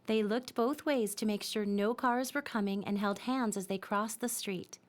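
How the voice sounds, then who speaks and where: A woman narrating a scripted children's story in a simple, expressive storytelling manner. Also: mid-range voice, normal speed.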